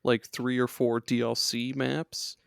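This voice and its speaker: A man's voice: mid-range voice, level tone, slow pace, vocal fry, fairly bright tone, clean tone, no room sound, studio quality. A man on a gaming podcast, rambling casually with lots of fillers and swearing. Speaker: podcast guest.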